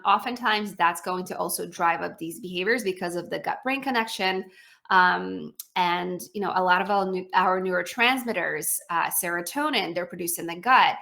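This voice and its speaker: Woman from poland, bright voice, chatty guest, lots of ums. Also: on the low side, lively intonation, normal speed, vocal fry. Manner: chatty, many fillers and restarts, laughs easily. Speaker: guest, pediatric speech-language pathologist. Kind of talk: casual interview answers.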